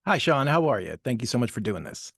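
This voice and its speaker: A man's voice: medium pitch, very animated, quick pace, a bit bright, husky, dry recording, studio quality. A male reporter asking a question on a press call in a polite, slightly hesitant way.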